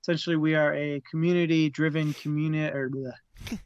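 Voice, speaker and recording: Chatty man, slightly higher voice. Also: steady tone, fairly quick, clean tone, no room sound, muffled recording. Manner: chatty, laughs often, trips over words. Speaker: co-host of a live book discussion stream. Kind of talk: casual live-stream chat, plugs and rundown.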